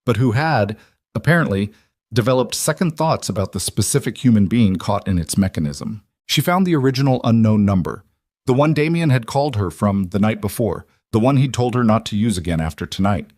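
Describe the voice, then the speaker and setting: A man's voice: lowish voice, lively intonation, brisk, darkish tone, no echo, clean studio sound. A man reading scripted dramatic fiction as an audiobook narrator.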